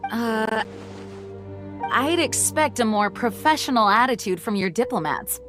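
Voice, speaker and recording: A woman's voice: fairly high pitch, animated, measured pace, fairly bright tone. A serious young lady knight commander, game dialogue, proper and in charge, music behind. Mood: indignant, firm. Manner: formal, composed, authoritative. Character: earnest, dutiful young female knight commander. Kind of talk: video game voice acting.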